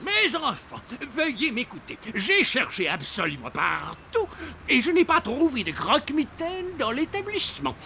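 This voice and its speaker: A firm cartoon school principal. Her measured, formal voice sits high and swoops widely. A music bed runs underneath. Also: wildly swooping pitch, gravelly, sharp tone, full character voice.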